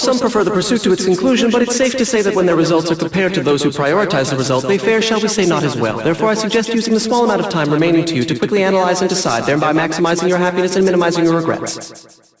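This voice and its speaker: An actor playing a haughty, eccentric young genius villain in a video game, with a light youthful voice and precise, wordy, theatrical diction. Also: male voice, on the high side, roomy sound, natural voice. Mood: impatient philosophizing. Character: small, prim, eccentric genius villain, smug and condescending.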